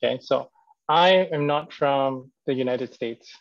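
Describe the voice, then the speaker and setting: A man's voice: medium pitch, unhurried, gravelly, no echo, muffled recording. A man from Singapore talking earnestly and emphatically on a video call, with fillers between points. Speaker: local business development speaker. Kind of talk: persuasive meeting talk.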